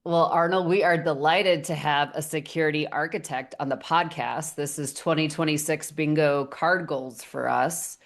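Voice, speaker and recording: A woman co-hosting a tech podcast, enthusiastic and lightly playful. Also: sits low. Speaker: podcast co-host.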